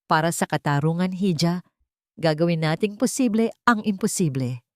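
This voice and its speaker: A woman's voice: lowish voice, moderate pace, dry recording, clean studio sound, natural voice. A woman voicing a loyal elderly housekeeper in an audio drama, earnest, emotional and fiercely resolute. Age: older.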